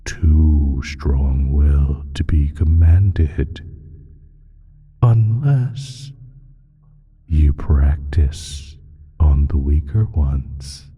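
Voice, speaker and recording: A man playing an ancient, evil male creature in an audio roleplay, speaking in a slow, teasing, sinister drawl with a husky, breathy voice that dips toward a whisper. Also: dark tone, highs cut off, exaggerated voice. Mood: darkly threatening. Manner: drawn-out, halting, teasing delivery with low chuckles. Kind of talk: slow, theatrical audio roleplay monologue addressed to listener.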